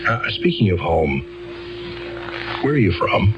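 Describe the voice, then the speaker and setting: An actor playing an earnest, exasperated male defense lawyer in a radio drama, courteous but halting and stammering. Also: low voice, big pitch swings, highs cut off, music in the background. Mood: flustered, coaxing. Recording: band-limited old radio drama recording.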